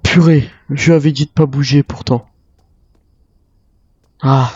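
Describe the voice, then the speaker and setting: A man with a dark, relaxed voice at a medium pitch narrates his survival game in character. He mutters his thoughts aloud as he improvises, on a slightly dull microphone. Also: a little slow, breathy edge. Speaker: gamer roleplaying a zombie-island survivor.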